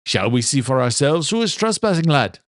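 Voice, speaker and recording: A man's voice: mid-range voice, big pitch swings, a little fast, no echo, clean studio sound, voice pushed up, lightly acted. A man voicing an older Irish groundskeeper father with a thick brogue, teasing and joking in a storybook reading. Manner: playful, joking.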